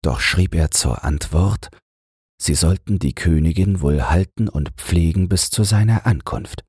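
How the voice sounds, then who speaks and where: Male audiobook narrator, very husky, slow, soothing fairy-tale reading, close dry sound. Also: deep voice, no echo. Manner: calm, soothing storytelling. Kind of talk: scripted fairy-tale narration for falling asleep.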